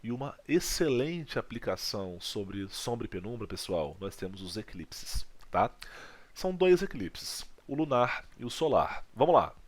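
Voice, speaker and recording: A man's voice: lowish voice, a little slow. A man from Brazil teaching a physics video lesson, checking in with his students often. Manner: lively teacher, checks in with "legal?" and "tá?".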